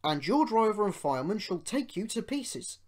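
A woman's voice: medium pitch, big pitch swings, moderate pace, a little mellow, voice pushed up. A woman voicing a grave, decisive male railway controller giving orders in a children's storybook. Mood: commanding.

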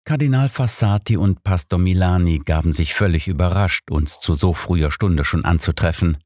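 A man's voice: deep voice, normal speed, darkish tone, no echo. A man reading scripted narration of a detective story as an audiobook narrator.

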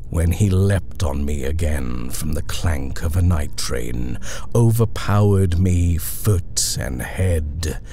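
A man reading a horror poem as a dramatic recitation, in a brooding, deliberate manner. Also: extremely low pitch, animated, slight background noise. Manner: brooding, deliberate, often hushed. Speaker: poetry reader. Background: eerie sound design ambience.

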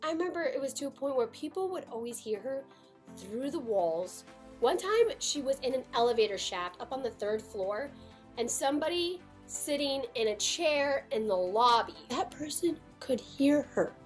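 Young American female storyteller, higher register, dramatic delivery, home vlog setup with some music. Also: lively intonation, normal speed. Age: 20s. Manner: dramatic, emphatic, gesturing. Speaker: storytime vlogger. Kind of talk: animated personal storytelling to camera. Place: small room at home.

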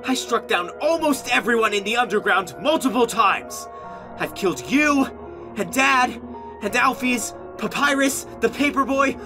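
An anguished young male goat monster played by an amateur actor in a comic dub. The pitch moves widely as he speaks, earnest and guilt-ridden, with music clearly behind him. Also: lively intonation, natural voice. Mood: angry, anguished. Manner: earnest, emotionally volatile, halting when ashamed.

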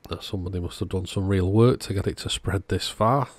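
A man's voice: low voice, fairly quick, no room sound. A man narrating a repair job in a casual, matter-of-fact, understated way. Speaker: host of electronics repair channel.

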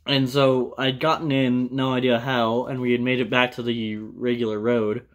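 A young American man tells a personal story casually to camera, in a loose, halting way with plenty of swearing. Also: medium pitch, little pitch movement. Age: young adult. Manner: loose, profane, halting delivery. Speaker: vlogger telling a personal story. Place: small indoor room with bare wall.

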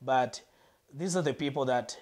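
A Malawian man, a guest on a lapel mic in a living room, earnestly telling his life story and pausing to search for words. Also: mid-range voice, darkish tone.

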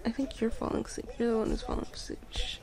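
A young woman doing girlfriend ASMR roleplay in a soft, sleepy voice that often drops to a whisper, murmuring affectionately and drowsily. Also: a little high, wildly swooping pitch, fast talker, gravelly. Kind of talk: ASMR girlfriend roleplay, casual intimate chat.

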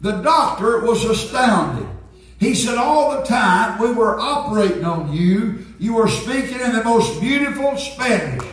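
Echoey, high-pitched elderly preacher man. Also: very animated, unhurried. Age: 70s. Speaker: pastor. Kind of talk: Pentecostal sermon, preaching and reading aloud. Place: church sanctuary, indoors at a pulpit. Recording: very echoey church sanctuary, distant sound.

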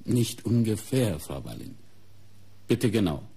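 A probing detective in a radio crime play. The voice is dark, rough-breathed and lively in pitch. He questions in a clipped, exacting manner. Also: a little slow, full character voice. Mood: insistent. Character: probing, insistent police inspector. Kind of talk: German radio crime drama acting.

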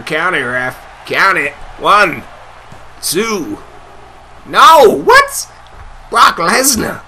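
A young man giving loud, excitable live commentary over a wrestling video game, his voice getting hoarse. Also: medium pitch, a little hiss or hum. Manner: hyped, shouting play-by-play calls. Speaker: gameplay commentator.

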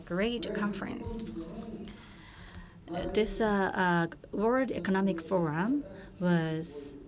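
A woman working as a conference interpreter, rendering a keynote speech with frequent hesitations and restarts. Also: mid-range voice. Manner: halting, many fillers and restarts. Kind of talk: consecutive interpretation.

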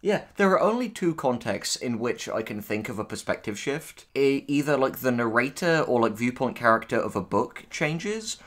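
A man's voice: medium pitch, expressive, moderate pace, hardly any pauses, fairly bright tone. A man co-hosting a casual, opinionated discussion, talking emphatically and freely swearing. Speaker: writer co-hosting a reaction discussion.

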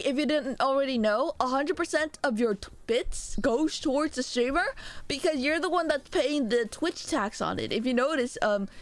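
A young adult woman streaming live, chatting excitedly with viewers and thanking them by name, speaking through a sore throat. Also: on the high side. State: sore throat, a little sick. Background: faint background music.

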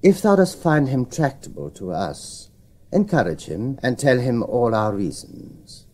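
An actor playing a smooth-talking, scheming nobleman in a Shakespearean audio drama, measured and commanding. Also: mid-range voice, sing-song, a little mellow. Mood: conspiratorial, commanding. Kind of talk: Shakespearean radio drama acting.

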